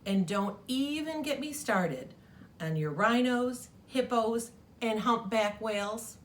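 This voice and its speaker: A woman's voice: a little high, slow pace, a little hiss or hum. A woman reading the letter of an exhausted, put-upon gray crayon from a storybook, weary and pleading.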